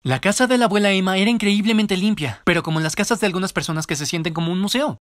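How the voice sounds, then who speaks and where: Fast, high-pitched expressive male storyteller. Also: no room sound, studio quality. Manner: expressive, comedic, self-deprecating. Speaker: narrator of an animated storytime video. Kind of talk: animated storytime narration, fan dub.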